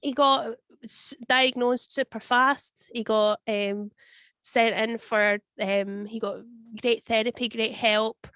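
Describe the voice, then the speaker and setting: A Scottish woman in her thirties with a cold that leaves her voice husky, answering interview questions in a chatty, wry way over a video call. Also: a little high, fairly even intonation, takes it slowly, a bit bright, no room sound. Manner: chatty, wry, tells stories with quoted voices. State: husky voice from a cold, sniffling and coughing. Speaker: guest.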